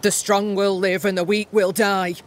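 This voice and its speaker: A woman's voice: mid-range voice, little pitch movement, moderate pace, a little hiss or hum, close to an everyday voice. An actress playing a proud, bitter young woman in a game cutscene, scornful and blunt. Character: bitter, proud young woman camp settler, resentful of her sister. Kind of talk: dramatic game cutscene acting.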